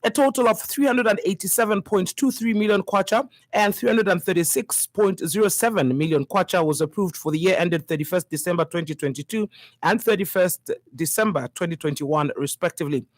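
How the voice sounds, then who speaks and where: A woman with a Zambian accent reading a scripted radio news bulletin in a formal, measured way. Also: on the low side, no echo.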